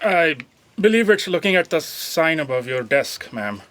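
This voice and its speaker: Woman as a timid, slow-spoken, lilting office aide. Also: low voice, sing-song, fairly bright tone, exaggerated voice. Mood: nervous explaining. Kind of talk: comic audio drama acting.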